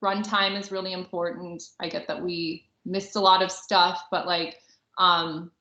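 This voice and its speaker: Bright-voiced woman podcaster in an echoey room. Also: fairly low pitch, little pitch movement, measured pace. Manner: informal, peppers talk with "like". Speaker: podcast co-host. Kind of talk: casual movie review chat. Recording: roomy echo, dull lo-fi audio.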